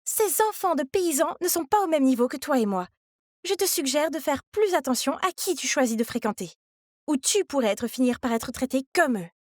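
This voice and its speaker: A haughty rich mean girl acted by a woman from an animated high school story. She talks down to others in a high voice, recorded very dry. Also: moderate pace, no room sound. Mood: haughty warning.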